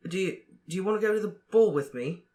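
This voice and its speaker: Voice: mid-range voice, fast talker, darkish tone, pitched above the performer's own voice, lightly acted. A reader voicing an awkward teenage boy who stammers and hesitates, in an audiobook. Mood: flustered.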